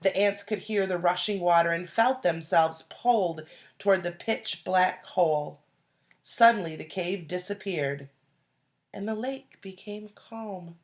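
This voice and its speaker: Lady teacher reading a kids story, real slow, lowish voice, stops to explain and ask stuff. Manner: deliberate, questioning, explains to young listeners. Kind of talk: expressive storybook read-aloud with asides and questions.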